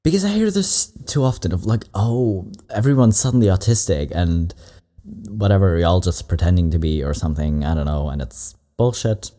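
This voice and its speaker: A man's voice: sits low, normal speed. A man hosting a reaction video, giving casual, rambling commentary with asides. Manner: relaxed, rambling asides with laughs.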